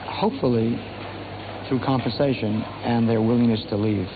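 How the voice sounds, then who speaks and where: A man with a Brooklyn accent answering interview questions in a blunt, steady, declarative way. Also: mid-range voice, moderate pace. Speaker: interviewee in archive clip. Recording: tinny old archive clip with noise.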